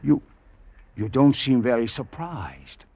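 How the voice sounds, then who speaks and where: An actor playing a troubled, fatalistic district commissioner, intense and brooding in an old-time radio drama style. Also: male voice, mid-range voice, lively intonation, takes it slowly, creaky, dark tone, breathy edge, dry recording, full character voice. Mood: subdued. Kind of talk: 1940s-50s radio drama narration and acting. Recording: muffled vintage radio recording, music behind.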